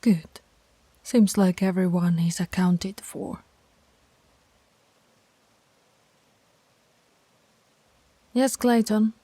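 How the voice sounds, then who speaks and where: A stern female werewolf pack alpha, voiced by a woman in an ASMR audio roleplay. She gives orders and scolds, and at times drops to a soft whisper. Her voice is mellow and dark, in a middle pitch. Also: no echo, lightly acted. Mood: commanding.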